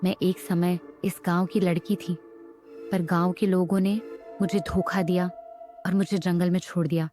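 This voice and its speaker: A woman playing a wronged village woman turned vengeful witch in a cartoon horror story, speaking in a measured, accusing way. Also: lowish voice, flat delivery, warm tone, faint music behind, lightly acted. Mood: aggrieved, vengeful.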